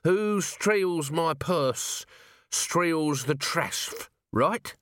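A man voicing a drunk, swaying male watchman who boasts of his noble ancestry, in slurred, drawn-out speech. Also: fairly high pitch, expressive, fairly bright tone, no room sound, clean studio sound, voice pushed up, heavily acted. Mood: drunk boasting. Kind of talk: audiobook character voice.

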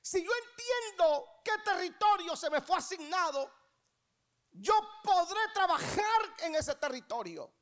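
A woman preacher in her thirties with a Guatemalan accent and an extremely high pitch. She delivers a sermon with emphasis, repeating her key phrases and posing rhetorical questions to the congregation. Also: sing-song, unhurried. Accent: Guatemalan Spanish. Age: 30s. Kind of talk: church sermon.

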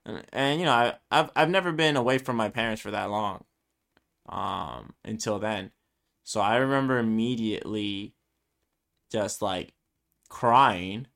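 A man in his twenties with a fairly low voice rambles through a solo podcast episode, circling back and restarting his thoughts. He grew up speaking Spanish first. His tone stays casual and self-deprecating. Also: a little slow, gravelly, a bit bright. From Brooklyn, New York. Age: 20s. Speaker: podcast host.

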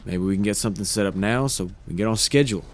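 An actor playing an earnest, hopeful 1890s logger and family man in an audio film drama, plain-spoken and a little halting. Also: lowish voice, close to an everyday voice. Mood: earnest, eager.

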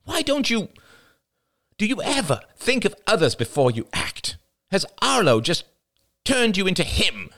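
A man's voice: high voice, big pitch swings, measured pace, pauses often, fairly bright tone, voice pushed up, exaggerated voice. A man voicing a blunt, scolding older woman, a veteran of her industry, who snaps at her niece. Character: brusque older woman, veteran media aunt.

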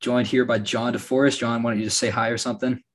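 A young man from Alaska hosting a podcast, telling stories in a relaxed way with lots of fillers and a slightly raspy voice. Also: medium pitch, fast talker. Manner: relaxed storytelling with frequent fillers and laughs. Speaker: podcast host. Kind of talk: casual podcast chat.

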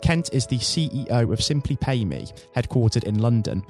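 A man's voice: mid-range voice, big pitch swings, normal speed, a little mellow, soft background music. A man hosting a podcast, who gives a scripted introduction in a polished, formal presenter manner and then asks interview questions. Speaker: podcast host.

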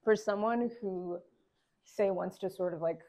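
A woman's voice: mid-range voice, darkish tone. A woman hosting a podcast, asking guests questions in a casual, friendly way. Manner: friendly, quick affirmations. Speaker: podcast host. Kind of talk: interview questions, casual chat.

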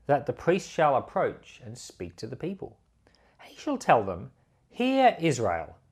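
A man, a pastor, reading scripture and giving devotional commentary in a measured, emphatic delivery. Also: mid-range voice.

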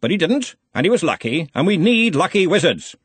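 Man doing a blustery, high-pitched wizard chancellor. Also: big pitch swings, fairly quick, slightly rough, no room sound, full character voice. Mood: cheerfully forceful. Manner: blustery, commanding. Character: brash, booming, cheerful wizard arch-chancellor, bluff and domineering.

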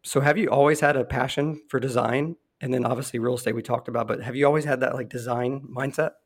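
A man hosting a podcast interview while losing his voice, talking in a rambling, friendly way and clearing his throat. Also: mid-range voice, fairly even intonation, quick pace. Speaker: podcast host, real estate investor. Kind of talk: casual interview conversation.

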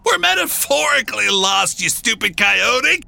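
A man voicing a panicked, irritable captive villager in a folktale, wailing loudly. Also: big pitch swings, measured pace, creaky, exaggerated voice. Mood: exasperated shouting. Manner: high, loud wailing.

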